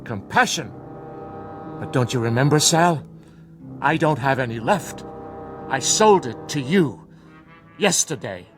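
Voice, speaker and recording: A man's voice: mid-range voice, animated, measured pace, long pauses, over music, lightly acted. An actor playing a stern, bitter older father in a TV drama, speaking in a formal, dry, cutting manner. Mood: bitter, menacing. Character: stern, bitter ailing father, protective of his daughter. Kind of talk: scripted TV drama acting.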